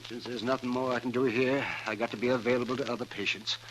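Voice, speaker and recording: An actor playing a testy, principled frontier doctor in a 1950s radio western, folksy and emphatic, with an American accent. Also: male voice, mid-range voice, level tone, quick pace, natural voice. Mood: indignant, defiant. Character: crusty, indignant, principled male frontier town doctor. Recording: noisy old radio recording.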